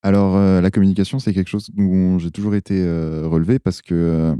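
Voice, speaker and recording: A man in his twenties, a student, answering interview questions hesitantly with many pauses. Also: sits low, clear, resonant tone, no echo. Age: 20s. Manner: hesitant, many fillers, relaxed.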